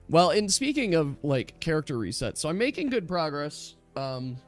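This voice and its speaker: A man with a fairly high voice acts as game master for a tabletop game. He explains rules in a chatty, off-the-cuff way, pausing and restarting as he goes. Faint music plays behind him.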